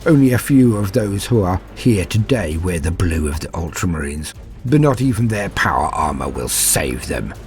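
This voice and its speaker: Dude voicing an ancient evil war veteran, low n menacing, grand slow-burn storytelling, faint music under it. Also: male voice, very animated. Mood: cold menace. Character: ancient proud cruel male warrior, contemptuous veteran. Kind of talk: dramatic first-person war storytelling.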